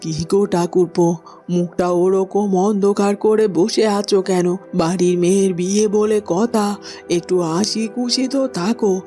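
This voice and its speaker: A woman's voice: medium pitch, steady tone, unhurried, mellow, soft background music, natural voice. A woman voicing a middle-aged village mother in a cartoon, coaxing and plain-spoken. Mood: teasing, coaxing. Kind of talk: dramatic fairy-tale cartoon acting.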